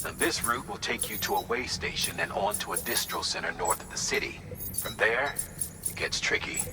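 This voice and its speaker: An actor playing a steady, authoritative male commander giving a measured mission briefing in a video game. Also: low voice, over music, lightly acted. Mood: businesslike. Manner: measured, instructive. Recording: long hollow reverb, some noise.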